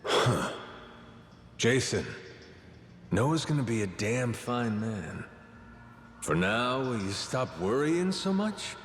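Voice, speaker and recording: A brash, big-hearted pirate captain from a video game. His blunt, casual voice swings wildly in pitch at an unhurried pace, in a big echoing space. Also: sing-song, a bit bright, slight background noise. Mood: warm reassurance. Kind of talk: scripted video game cutscene acting. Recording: echoey cave-like hall with faint music.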